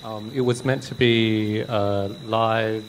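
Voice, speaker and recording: A young man with an Australian accent, a native Vietnamese speaker, giving a relaxed presentation into a handheld mic on stage. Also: on the low side, takes it slowly, slight background noise, rough recording. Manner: relaxed, loose, with fillers. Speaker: artist on a panel. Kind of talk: casual presentation. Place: stage before an audience.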